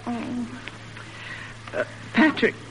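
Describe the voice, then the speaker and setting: An actress playing a worried, gentle farm mother in an earnest, expressive 1940s radio drama style. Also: a little high, extremely slow. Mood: hesitant worry. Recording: noisy old radio broadcast recording.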